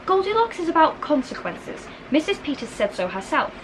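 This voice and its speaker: A woman reading a storybook, voicing a bookish eleven-year-old girl who is prim, earnest and quick to correct. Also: high-pitched, very animated, background noise, voice pushed up. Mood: disapproving, earnest. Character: bookish, disapproving eleven-year-old schoolgirl twin sister. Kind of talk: children's storybook character reading.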